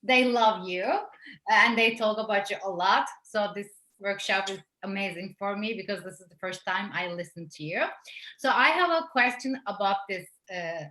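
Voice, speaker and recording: A woman from Turkey with a Turkish accent, earnestly asking a question in a workshop over a video call, pausing with fillers. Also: mid-range voice, bright, forceful tone. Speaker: workshop participant. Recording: echoey room.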